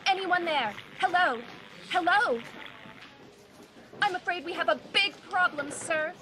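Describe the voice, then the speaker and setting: Teen girl doing an earnest rail control lady, radio play, super high voice, bit of background noise. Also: expressive, measured pace, bright, forceful tone, full character voice, poor audio. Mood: urgent, worried. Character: earnest, dutiful female railway control operator. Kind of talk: school radio play acting.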